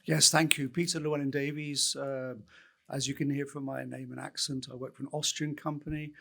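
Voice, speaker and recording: A man's voice: medium pitch, flat delivery, a little fast, creaky, bright, forceful tone. A man introducing himself on a business panel, self-deprecating and joking. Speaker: biotech CEO panellist.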